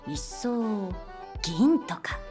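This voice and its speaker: A woman's voice: fairly high pitch, lively intonation, drawn-out words, music in the background. An actress playing an easygoing, teasing woman teacher in a Japanese voice drama, speaking casually and bluntly. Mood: teasing, gentle. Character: easygoing, teasing adult woman teacher who loves beer.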